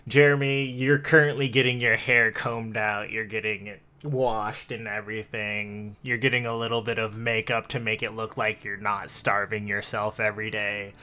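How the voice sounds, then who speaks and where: A man's voice: lowish voice, level tone, moderate pace, hardly any pauses, sharp tone. A man narrating a tabletop roleplaying game as game master in a casual, conversational way.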